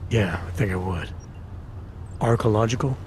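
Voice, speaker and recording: An actor playing a guarded, skeptical video game hero who speaks in a terse, dry, questioning way. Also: male voice, on the low side, moderate pace, darkish tone, a little hiss or hum, natural voice. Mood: dry, doubtful. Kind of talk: video game dialogue acting.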